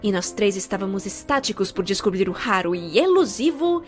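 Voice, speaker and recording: A woman with a Brazilian accent giving a scripted comedic narration for an animated story, expressive and playfully sarcastic. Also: mid-range voice, fairly quick. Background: music.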